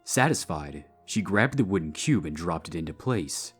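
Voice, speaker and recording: A man's voice: low voice, expressive, normal speed, breathy edge. A man giving a scripted narration of a story as its narrator. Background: background music.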